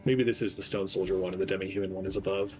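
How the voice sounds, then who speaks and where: A young man streaming a game, talking in a gentle, soft-spoken, rambling way with lots of ums. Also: sits low, fairly even intonation, racing. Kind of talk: casual gameplay stream chat. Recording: game music playing behind. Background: game music.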